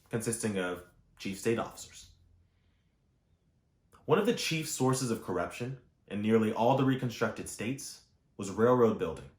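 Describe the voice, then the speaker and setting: A man reading historical nonfiction aloud in a measured, formal way, phrasing each clause clearly. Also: lowish voice. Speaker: audiobook reader. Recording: roomy, echoing voice recording.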